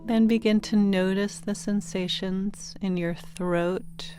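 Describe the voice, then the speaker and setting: A woman narrating a guided sleep meditation in a gentle, soothing manner, giving unhurried instructions with pauses between phrases. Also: mid-range voice, fairly even intonation. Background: meditation music with singing bowl tones.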